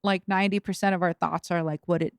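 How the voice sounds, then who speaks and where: A woman's voice: fairly low pitch, fairly even intonation, quick pace, smooth, no echo, studio quality. A woman from Los Angeles, a rapper guest on a podcast, chatting casually with lots of fillers, restarts and laughs. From Los Angeles, USA. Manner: rambling, filler-heavy, laughs often.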